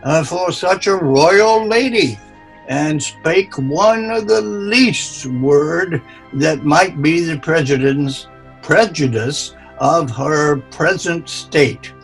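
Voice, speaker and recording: Deferential cardinal played by a man, very slow halting verse, gravelly creak, online call with faint music. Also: fairly high pitch, poor audio. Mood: humble pleading. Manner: halting, stumbling over the verse. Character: deferential, self-justifying cardinal at royal court. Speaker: amateur actor in an online play reading. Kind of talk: amateur Shakespeare read-aloud.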